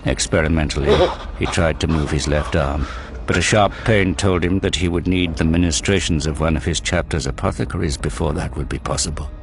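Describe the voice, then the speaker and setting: A man narrating a war story in a grave, deliberate, dramatic scripted style. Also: very deep voice, noisy background. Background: prominent dramatic music.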